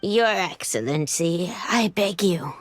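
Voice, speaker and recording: Stern female devotee, husky, breathy, slow, lowish, formal, pleading, game dialogue. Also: expressive. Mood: grave, pleading. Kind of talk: video game voice acting.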